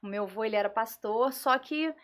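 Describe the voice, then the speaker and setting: A woman with a Carioca Brazilian accent giving personal testimony as a podcast guest, often pausing and checking for agreement. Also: on the high side. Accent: Carioca Brazilian Portuguese. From Rio de Janeiro, Brazil.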